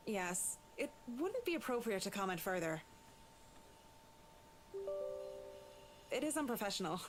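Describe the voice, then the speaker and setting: An actress playing a polite, reserved interior designer with a formal, deferential manner in a visual novel game. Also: female voice, mid-range voice, big pitch swings, brisk, close to an everyday voice. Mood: guarded.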